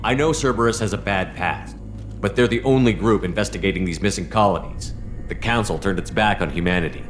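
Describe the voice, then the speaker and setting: An actor playing a calm, resolute military commander in a video game, speaking in a measured, authoritative way. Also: male voice, lowish voice, soft background music, natural voice. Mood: firm, earnest.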